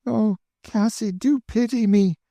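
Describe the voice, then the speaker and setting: A man's voice: high-pitched, a little slow, dark tone, dry recording, studio quality, pitched above the performer's own voice. A man voicing a frightened young girl who pleads breathlessly. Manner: breathless, imploring.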